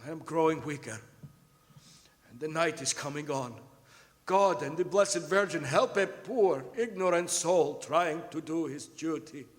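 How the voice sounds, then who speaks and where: A man's voice: fairly high pitch, animated, unhurried. A man playing a doomed, devout ship's captain delivering his log as a radio drama monologue. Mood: pleading. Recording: echoey hall, live stage performance.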